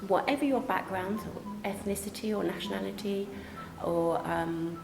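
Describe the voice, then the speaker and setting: A woman's voice: medium pitch, fairly even intonation, normal speed, vocal fry. A woman on a college's staff, talking conversationally in a promotional interview, recorded in an echoey space. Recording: echoey space, faint background ambience.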